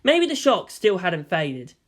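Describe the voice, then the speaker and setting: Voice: medium pitch, wildly swooping pitch, takes it slowly. A narrator giving a dramatic scripted reading of a story.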